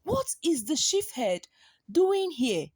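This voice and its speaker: Watchful folktale warrior played by a woman, astonished, raised pitch, lively swings. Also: slow pace, dry recording, clean studio sound, full character voice. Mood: astounded. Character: watchful, astonished male village warriors on guard.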